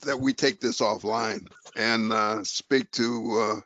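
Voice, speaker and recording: A man speaks tentatively in an online meeting. His delivery is halting and extremely slow. The sound is dry and somewhat muffled. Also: lowish voice, fairly even intonation, sharp tone, no echo. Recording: dull, dry lo-fi call audio.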